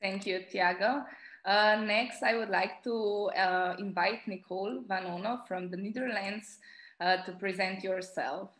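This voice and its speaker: A woman chairs a conference roundtable and calls on each speaker in turn. Her pitch sits in the middle range, and she talks in a polite, measured way. The room around her has a noticeable echo. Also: fairly even intonation, fairly bright tone. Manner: polite, measured, with frequent filler pauses. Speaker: roundtable moderator. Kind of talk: formal introductions at a conference panel.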